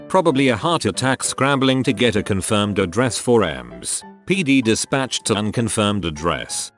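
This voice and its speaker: A man with a fairly low voice narrates from a script. His pitch moves up and down in a lively way as he reads written stories aloud. Music plays behind him.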